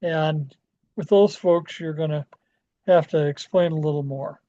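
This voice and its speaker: A man giving an informal training presentation in a halting, folksy, plain-spoken way, with frequent hesitations. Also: on the high side, fairly even intonation, warm tone. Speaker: amateur radio club presenter. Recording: dull, lo-fi audio.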